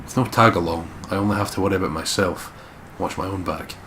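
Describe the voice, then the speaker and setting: A man reading the part of a guarded, determined investigator narrating a horror visual novel in a measured, serious way. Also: deep voice, fairly bright tone. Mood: brooding, serious. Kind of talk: dramatic scripted narration, visual novel read-aloud. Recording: clearly noisy home recording.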